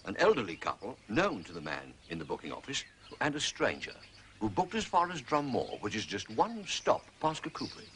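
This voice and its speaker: A deferential manservant in a period drama. His voice is fairly low yet bright, with very lively intonation over faint background noise. He is formal and precise, with dry theatrical relish. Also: moderate pace. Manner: formal, methodical, dryly theatrical. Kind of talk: British television period drama acting.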